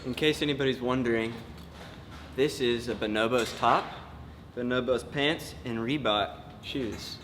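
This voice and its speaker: A man's voice: medium pitch, measured pace, fairly bright tone. An American man hosting a casual gig vlog as a live sound engineer. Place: event venue during setup. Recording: noisy, reverberant venue sound.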